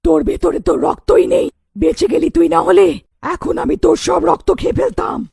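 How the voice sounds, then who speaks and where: Woman voicing a menacing high-pitched cartoon witch. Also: normal speed, gravelly, a little mellow, no echo, studio quality, exaggerated voice. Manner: threatening, taunting. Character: menacing bloodthirsty female witch.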